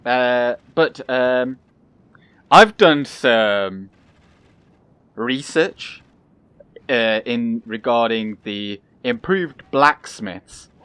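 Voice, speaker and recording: A young man giving calm, casual commentary over his own gameplay, soft-spoken and pausing often with fillers. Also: medium pitch, clean tone. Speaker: gaming channel host.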